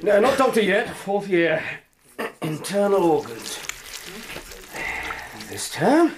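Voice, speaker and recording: A cheeky young Victorian student doctor for radio. He has a high voice that swoops about freely, and he talks in a theatrical, mocking, carelessly amused way. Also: sing-song, takes it slowly, noisy background, heavily acted. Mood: flippant, cocky. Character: flippant, cocky, hard-drinking young Victorian medical student. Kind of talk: period radio drama acting.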